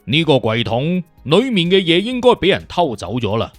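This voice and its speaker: A man's voice: on the high side, huge pitch swings, normal speed, no room sound, soft background music. A man playing a witty, conceited detective consultant in an audiobook, with a Cantonese accent and an emphatic, lecturing, self-assured manner. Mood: musing, investigating. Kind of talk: audiobook character acting.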